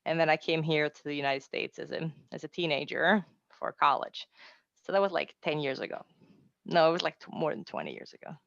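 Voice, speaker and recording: An upbeat woman in her forties with an Austrian accent gives an encouraging, chatty presentation. Her voice has a gravelly, creaky edge. The sound is dry and dull, like a lo-fi computer mic on a video call. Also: deep voice, brisk, a bit bright, dry recording. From Austria, raised in Mexico City. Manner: upbeat, chatty, self-deprecating asides. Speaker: translator giving a webinar talk.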